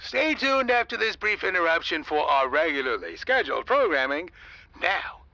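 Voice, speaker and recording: A man's voice: fairly high pitch, sing-song, normal speed, gravelly, pushed and bright, dry recording, highs cut off, exaggerated voice. A man playing a fussy, theatrical radio station host in an audio comedy, opinionated and dramatic. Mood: showy, cordial.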